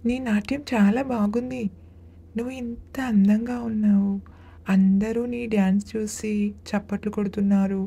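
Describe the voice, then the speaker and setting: An insecure crow from a children's animated fable, voiced by a woman. The tone is very dark and mellow, with a husky edge. The crow speaks with wistful admiration and questioning wonder. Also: mid-range voice. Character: insecure, admiring crow who learns self-worth. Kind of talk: children's animated moral story.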